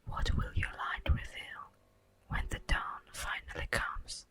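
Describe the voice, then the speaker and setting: Woman as a whispering, slow-spoken ancient elf woman. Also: a bit bright, natural voice. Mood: solemn, patient. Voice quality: breathy, whispered. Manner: slow, solemn, poetic delivery with long pauses. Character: ancient immortal elf woman, tender, wistful, solemn. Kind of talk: whispered ASMR fantasy roleplay monologue. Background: music.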